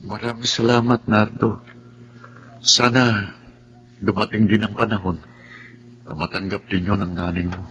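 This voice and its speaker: A man's voice: fairly low pitch, flat delivery, a little slow, pauses often, natural voice. A man acting as a wronged, peaceable young man in a Filipino radio drama, earnest and pleading, restrained but firm. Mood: subdued, sincere. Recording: loud music behind the dialogue.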